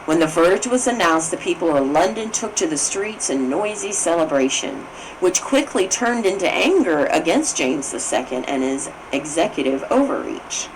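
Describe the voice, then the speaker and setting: Woman, low-pitched, animated intonation, formal read-aloud narration, careful delivery with stumbles, noticeable background noise. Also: moderate pace, rough recording. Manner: formal, careful reading with stumbles and self-corrections. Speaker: reader of a book on constitutional history.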